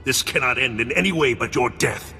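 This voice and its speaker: An actor playing a grandiose, menacing cyborg mad scientist in a video game, speaking with theatrical contempt. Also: male voice, mid-range voice, expressive, a little fast, sharp tone. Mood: threatening, boastful. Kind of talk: video game cutscene acting. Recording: long hall reverb over music.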